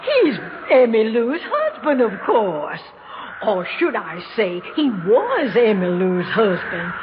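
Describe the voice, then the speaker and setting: A woman's voice: fairly high pitch, very animated, slow, muffled recording, a little hiss or hum. An actress playing a cranky, bossy old mother in a 1960s radio drama, scolding in genteel, old-fashioned phrases. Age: older. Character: imperious, cranky old mother, darkly matter-of-fact about death. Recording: band-limited 1960s radio recording with music.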